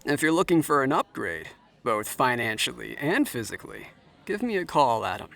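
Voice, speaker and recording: An actor playing a charming, self-assured tech executive in an audio drama, talking like a smooth, formal salesman. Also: mid-range voice, sing-song, moderate pace, a bit bright. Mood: self-assured, flattering.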